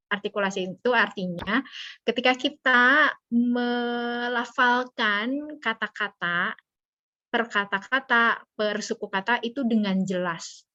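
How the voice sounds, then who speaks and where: A woman with a bright, high voice leads a casual online speaking class at an unhurried pace. She is playful and colloquial, giving instructions to her students. The audio is slightly dull, like a video call. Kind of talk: informal interactive online lesson.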